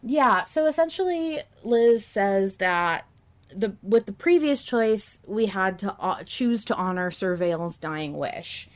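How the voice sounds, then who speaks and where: A woman's voice: medium pitch, lively intonation, slow. A woman hosting a podcast in casual discussion, thinking aloud and hedging her opinions as she goes. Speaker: podcast host.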